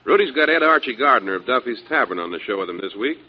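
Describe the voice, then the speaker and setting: A man's voice: medium pitch, animated, quick pace, lightly acted. An actor playing a polite, businesslike studio tour guide, giving his patter in a 1940s radio comedy. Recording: muffled, raspy-sounding 1940s radio.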